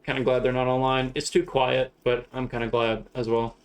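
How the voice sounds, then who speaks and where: A man's voice: medium pitch, little pitch movement, normal speed, smooth. Laid-back Southern US man streaming. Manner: laughs a lot, rambling and loose, swears casually. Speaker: streamer flying a shared-cockpit sim flight. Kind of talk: casual live-stream chat.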